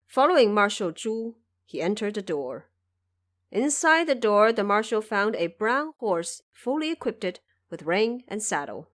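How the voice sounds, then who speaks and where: A woman giving scripted storytelling narration for an audio drama, with expressive, careful phrasing. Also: mid-range voice.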